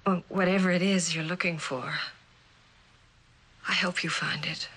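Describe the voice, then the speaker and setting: An actress playing a worried, devoted wife in a mid-century television drama, hesitant and earnest. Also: female voice, lowish voice, steady tone, unhurried, fairly bright tone. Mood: sad, tender. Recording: faint noise, old television soundtrack.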